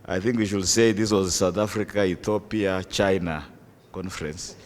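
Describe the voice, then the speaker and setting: Low-voiced Kenyan man chairing a conference. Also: steady tone, normal speed. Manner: hesitant, many fillers, jokey asides. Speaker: session chair. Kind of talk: conference moderation. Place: conference hall. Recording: long hall reverb.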